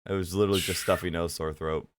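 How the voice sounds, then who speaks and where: A man's voice: sits low, flat delivery, fast talker. A man co-hosting a casual podcast chat, stuffy-nosed and sniffly, laid-back and swearing freely. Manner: laid-back, profane, laughs often. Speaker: podcast co-host.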